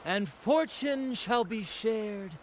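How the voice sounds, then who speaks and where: Theatrical, high-voiced young troupe captain. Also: male voice, lively intonation, slow pace, slight background noise. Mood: grand, theatrical. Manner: grandiloquent, theatrical. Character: flamboyant young male troupe captain and bard, theatrical and charming. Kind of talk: video game voice acting.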